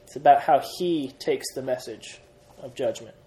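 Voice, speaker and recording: A young man leading a Bible study class, energetic, quizzing the group and often restarting his sentences. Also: medium pitch, normal speed, rough recording. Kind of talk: classroom Bible lesson with questions.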